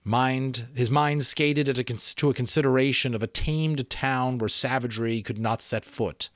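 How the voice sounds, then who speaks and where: A man reading an audiobook as dramatic narration, expressive, with the occasional stumble. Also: mid-range voice, normal speed, no room sound. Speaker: audiobook reader.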